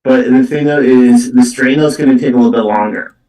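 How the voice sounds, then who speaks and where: A man giving a live spiritual card reading as a psychic medium, speaking in halting, repetitive phrases. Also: medium pitch, mellow, distant mic. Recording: roomy, echoey, away from the mic.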